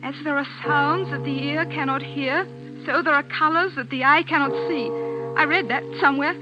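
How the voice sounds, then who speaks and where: Actress as an anxious high-voiced young woman. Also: steady tone, normal speed, natural voice. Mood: uneasy, anxious. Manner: dramatic, insistent. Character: anxious, insistent young woman, clergyman's daughter. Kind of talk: 1940s radio acting. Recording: muffled vintage radio recording, music behind.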